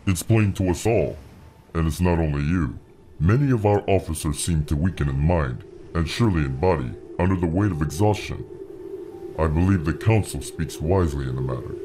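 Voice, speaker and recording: Man voicing a deep, dark-toned old wise dragon. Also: a little hiss or hum, natural voice. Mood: grave concern. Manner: formal, grave, measured counsel. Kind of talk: fantasy audio drama acting.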